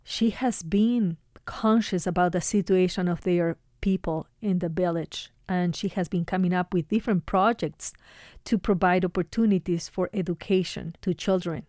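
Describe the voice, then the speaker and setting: Lower-voiced woman narrating a radio feature. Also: darkish tone, dry recording, clean studio sound. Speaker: radio reporter.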